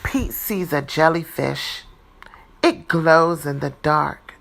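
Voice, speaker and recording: A woman reading a children's picture book aloud in a deliberate, expressive teacher's style. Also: sits low, gravelly. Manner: deliberate, animated, dramatizing for young children.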